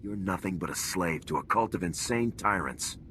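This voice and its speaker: Resolute sci-fi major: lowish, hard-edged, commanding, clipped game-cutscene delivery. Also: male voice, expressive, moderate pace, fairly bright tone, slight background noise. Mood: defiant. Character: stern, resolute male Republic military major, squad leader. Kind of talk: video game cutscene acting.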